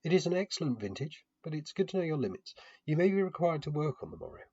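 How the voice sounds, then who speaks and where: A man's voice: a little high, animated, fast, warm tone, slightly rough, muffled recording, pitched above the performer's own voice. A man voicing a friendly, curious young noblewoman with polite, refined phrasing in an audiobook-style fantasy tale. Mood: teasing politeness.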